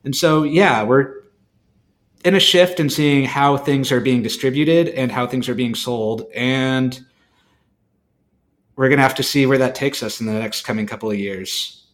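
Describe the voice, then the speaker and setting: Man explaining things in halting steps. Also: mid-range voice. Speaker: podcast co-host. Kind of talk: informal explanatory panel talk.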